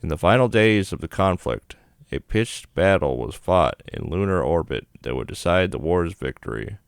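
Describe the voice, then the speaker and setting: A man's voice: low-pitched, lively intonation, moderate pace, creaky, no room sound, studio quality. A man reading the narration of a visual novel aloud as a gaming video host.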